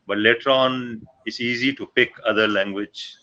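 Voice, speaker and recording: A man's voice: mid-range voice, fairly even intonation, normal speed, bright, forceful tone, highs cut off. A man from Pakistan with a Pakistani accent, chatting casually on a livestream and speaking hesitantly with many fillers. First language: Punjabi. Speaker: livestream chat participant. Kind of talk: casual chat.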